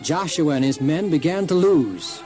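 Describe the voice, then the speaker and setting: A man narrating a scripted, dramatized children's story in a deliberate storyteller's manner. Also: a little high, expressive. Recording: music under narration.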